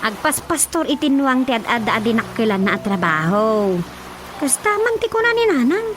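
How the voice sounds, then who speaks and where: A woman with an Ilocano Filipino accent playing an insistent, teasing young rural woman in a comedic radio drama, with expressive delivery. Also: fairly high pitch, moderate pace, background noise. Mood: insistent, conversational.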